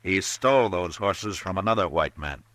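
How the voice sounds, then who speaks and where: An actor playing a calm, laconic frontier marshal who also narrates, in an old radio drama. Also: male voice, low-pitched, normal speed. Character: steady male frontier marshal, calm and firm. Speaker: narrator and lead. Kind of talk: 1950s radio drama acting. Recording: old radio broadcast with music bed.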